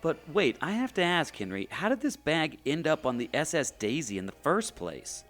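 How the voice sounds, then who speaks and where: An actor playing a skeptical, sarcastic, wary adventure fan in an audio drama. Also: male voice, a little high, big pitch swings, normal speed, a bit bright, faint music behind. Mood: questioning. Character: skeptical, sarcastic male adventure enthusiast, wary buddy type.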